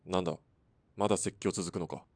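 A man's voice: low-pitched, fairly even intonation, fairly quick, no echo. A man playing a laconic, brooding sharpshooter in a Japanese radio drama, with a laid-back, terse and evasive manner. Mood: resigned. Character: laconic, brooding male sharpshooter, nonchalant smoker.

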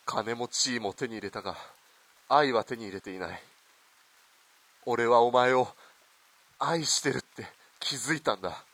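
Man acting husky, pleading rich lover. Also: mid-range voice, normal speed, long pauses, natural voice. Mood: remorseful, ardent. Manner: pleading, forceful, blunt. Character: pleading, domineering, wealthy Japanese lover, adult man. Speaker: actor in amateur radio drama. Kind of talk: melodramatic radio drama acting.

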